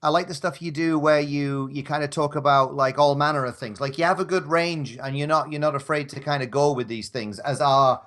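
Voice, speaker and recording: A man's voice: a little high, fairly even intonation, fairly quick, few pauses, fairly bright tone. A man chatting casually as a podcast guest, polite and hesitant, often restarting his phrases. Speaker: podcast guest, audio drama performer. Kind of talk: casual chat.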